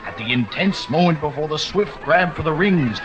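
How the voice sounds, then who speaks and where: A man narrating a classic short story with expressive, dramatic storytelling. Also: on the high side, normal speed, lo-fi sound, over music. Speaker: audiobook narrator. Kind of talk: scripted narration.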